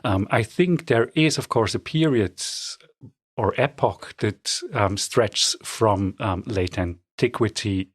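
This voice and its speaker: A man speaking as a guest scholar in an interview, answering thoughtfully with frequent pauses and ums. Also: on the low side, husky, no room sound, studio quality. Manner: hesitant, thoughtful, many ums.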